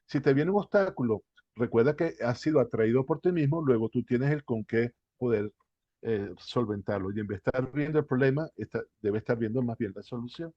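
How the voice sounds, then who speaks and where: A man from Spain, a guest researcher, explaining his ideas in an earnest, reflective way and slowing down on key words. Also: mid-range voice, dry recording. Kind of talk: explanatory interview talk. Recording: dull, dry lo-fi line.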